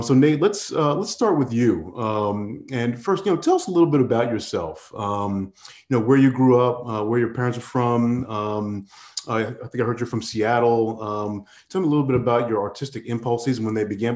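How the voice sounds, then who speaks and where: Middle-aged moderator in an echoey room. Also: male voice, medium pitch, a little mellow. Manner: measured, hesitant questions with many ums. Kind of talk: interview moderating on a video call. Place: home study with bookshelves, indoors. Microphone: video call. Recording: echoey room on a video call.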